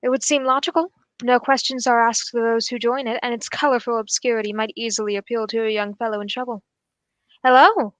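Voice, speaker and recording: A woman's voice: on the high side, steady tone, a little fast, a bit bright, dry recording, close to an everyday voice. A woman playing a keen, assured male English detective in a radio drama, speaking in a formal, analytical way. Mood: brisk, decisive.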